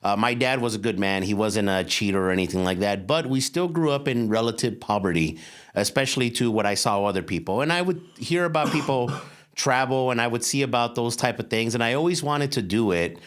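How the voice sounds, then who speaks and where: A man's voice: medium pitch, animated, fairly quick. A man in his forties from Los Angeles, a real estate investor and mindset coach, telling his story in a candid, casual interview. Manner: candid, storytelling, repeats words as he goes.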